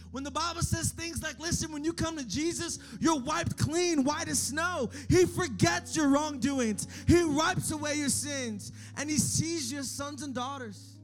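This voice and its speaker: A young man preaching a sermon, moving from hushed, close talk to loud, shouted calls to the crowd. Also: very high voice. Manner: shifts between hushed intimacy and shouted exhortation. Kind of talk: energetic sermon. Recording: faint music behind.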